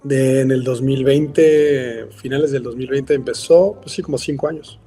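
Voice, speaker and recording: A man's voice: medium pitch, steady tone, moderate pace, dark tone, husky, faint music behind. A man with a Mexican accent, a company founder speaking as a guest in a casual interview, rambling with lots of filler words. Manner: rambling, frequent "este" fillers.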